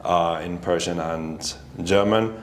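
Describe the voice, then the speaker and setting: A middle-aged man from Norway, a native Norwegian speaker, answering interview questions thoughtfully with many pauses. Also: sits low, slight background noise. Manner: thoughtful, hesitant, many ums. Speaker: guest, company founder. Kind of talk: casual interview. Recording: echoey room.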